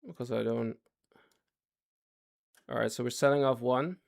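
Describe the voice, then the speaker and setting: A man's voice: mid-range voice, steady tone, unhurried, gravelly. A man doing casual live commentary on his trades for a chat audience. He is losing his voice, repeats himself and keeps asking viewers if they follow. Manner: talks in fits and starts, repeats himself, asks chat to follow. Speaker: day trading livestreamer.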